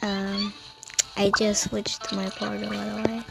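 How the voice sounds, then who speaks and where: A woman's voice: medium pitch, monotone, measured pace, vocal fry. A woman doing casual gameplay commentary in an offhand, hesitant way, recorded as an amateur. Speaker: gaming video creator playing along. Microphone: amateur recording over mobile game. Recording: loud game music over voice.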